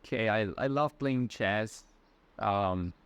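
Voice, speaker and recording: A man's voice: fairly low pitch, slow pace, clear, resonant tone. A man in his twenties from Ecuador with a Latin American Spanish accent, a guest answering interview questions in a friendly, hesitant way. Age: 20s. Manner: friendly, hesitant, many fillers and restarts. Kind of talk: casual conversational answers.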